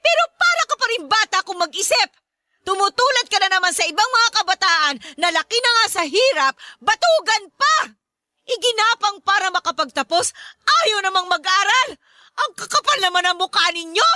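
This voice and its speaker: An actress playing a short-tempered, nagging working-class mother in a Tagalog radio drama, berating her grown son with sharp exclamations. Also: extremely high pitch, very animated, normal speed, no room sound, studio quality, full character voice. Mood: angry scolding.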